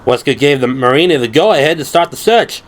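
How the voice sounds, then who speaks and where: A man's voice: a little high, expressive, a little fast, voice pushed up, lightly acted. A man voicing a boyish, cocky Southern pilot with an Alabama twang, drawling eagerly in an audio drama. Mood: excited, cocky.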